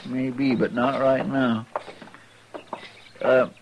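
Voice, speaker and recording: Folksy drawling frontier sidekick, noisy recording. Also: male voice, medium pitch, little pitch movement, lo-fi sound. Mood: easygoing. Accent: rural American drawl. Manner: folksy, slow-witted, deferential, rambling. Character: folksy, simple, good-natured male frontier sidekick. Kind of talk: 1950s radio western acting. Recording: noisy vintage 1950s radio recording.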